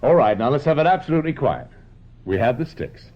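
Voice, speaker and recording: An actor playing a domineering, manipulative film director in a radio mystery drama, speaking in an insistent, patronizing and emphatic way. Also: male voice, mid-range voice, very animated, brisk. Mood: brisk, commanding. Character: domineering, manipulative male film director pressing a young actress. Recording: muffled, noisy old radio recording.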